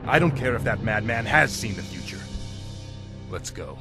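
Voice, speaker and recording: An actor playing a proud, defiant young prince in a fantasy game cutscene, intense and impatient. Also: male voice, lowish voice, expressive, normal speed. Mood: stubborn, impatient. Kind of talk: dramatic video game cutscene acting. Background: loud music.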